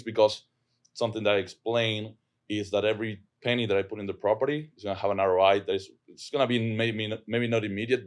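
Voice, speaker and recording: A man with an Argentine accent, a property manager talking as a podcast guest, earnest and often repeating words as he thinks. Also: on the low side. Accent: Argentine Spanish. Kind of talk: casual podcast chat.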